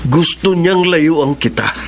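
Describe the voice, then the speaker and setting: A cocky Filipino lover's rival in a radio drama, defiant and taunting. The voice runs a bit high, with clear noise in the background. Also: male voice, expressive, slow. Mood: indignant, possessive. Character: Mandy, defiant, cocky male romantic rival.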